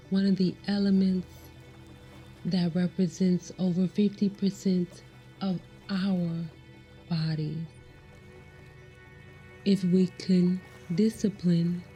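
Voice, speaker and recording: A woman leading a guided meditation in a measured way, pausing between short phrases. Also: lowish voice, warm tone. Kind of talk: slow guided meditation narration.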